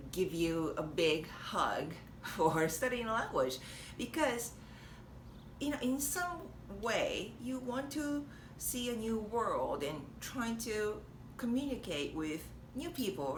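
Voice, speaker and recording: A young woman with a Japanese accent, chatting warmly and encouragingly to camera, laughing often. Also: sits low. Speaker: vlogger and language teacher. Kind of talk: casual encouraging talk to camera. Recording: echoey room with faint noise.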